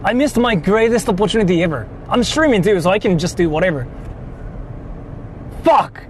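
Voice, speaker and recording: A young Japanese man with a Japanese accent, live-streaming casually from city streets, excitable and swearing freely as he talks to his chat. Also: high-pitched, moderate pace, slight background noise.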